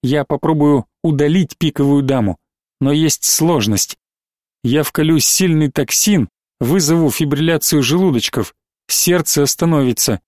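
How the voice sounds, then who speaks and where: A man voicing a calm, cold and firm male doctor in an audiobook, speaking level and unhurried. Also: a little high, no echo, studio quality, close to an everyday voice. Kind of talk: audiobook reading.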